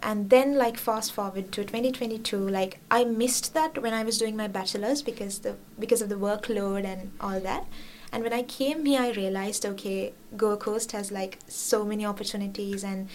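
A woman's voice: medium pitch, fairly even intonation, normal speed, darkish tone, slight background noise, low-quality recording. A young woman from Sri Lanka, an international student, chatting casually in an interview and filling her talk with "like" and "you know". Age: young adult. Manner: chatty, many fillers like "like" and "you know", laughs often.

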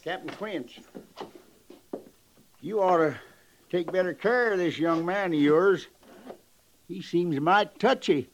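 An actor playing a leering frontier troublemaker in an old radio western, goading with folksy mock friendliness. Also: male voice, high-pitched, takes it slowly, pauses often, a little hiss or hum. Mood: mock innocent. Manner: folksy, needling, mock-friendly drawling talk. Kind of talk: 1950s radio western acting. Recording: crowd murmur behind.

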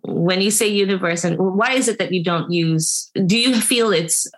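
A woman, a guest on a podcast, speaking reflectively and candidly in a measured way. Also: mid-range voice. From Singapore. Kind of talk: casual conversational interview.

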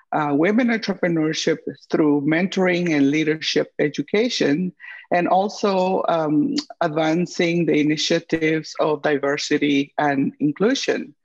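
Woman, 50s, gravelly, slow with fillers, muffled recording. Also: low-pitched, darkish tone. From Guatemala. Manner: unhurried, many uh fillers and pauses. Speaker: guest, chef and entrepreneur. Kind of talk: interview answers. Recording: dull lo-fi audio.

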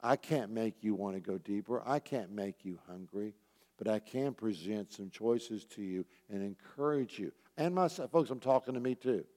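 Low-voiced preacher in a reverberant hall. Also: male voice, lively intonation, normal speed, warm tone. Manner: earnest, urging, repeats key phrases for emphasis. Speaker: pastor teaching a church group. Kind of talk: sermon, exhortation with rhetorical questions. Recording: roomy hall with long reverb.

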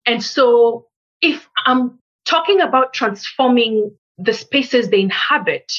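A woman from Kenya, a researcher, giving a measured, reflective interview answer and building her points in lists. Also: fairly high pitch, animated, fairly bright tone, slightly rough, lo-fi sound.

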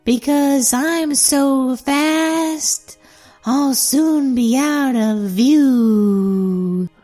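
A woman doing a very slow, high snail. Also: dark tone, soft background music, full character voice. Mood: smug. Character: boastful slimy snail.

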